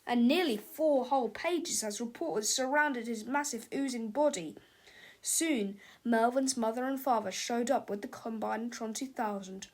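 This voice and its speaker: A woman with a fairly high voice narrates a children's storybook. Her delivery is an expressive read-aloud, made for young listeners. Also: moderate pace.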